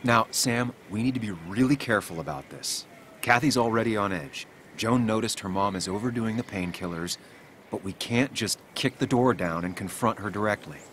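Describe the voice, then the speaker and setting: An actor playing a gentle, weary, consoling man in a dramatic video game, speaking in a measured and reassuring way. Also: on the low side, slight background noise, lightly acted. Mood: cautious, frank.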